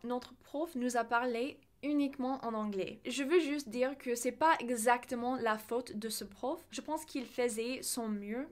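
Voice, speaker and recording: A young woman, a native English speaker with a learner's accent in French, telling a personal story emphatically as a video creator. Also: fairly high pitch. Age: young adult.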